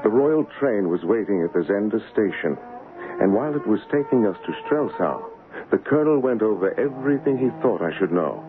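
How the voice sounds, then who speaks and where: A man acting an honourable English gentleman in an old radio play. The voice is very deep, measured and earnest. It comes through a muffled broadcast with music behind. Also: lively intonation, close to an everyday voice. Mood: reflective, excited. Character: earnest, honourable English gentleman, royal look-alike and storyteller. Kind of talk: old-time radio drama acting and first-person narration.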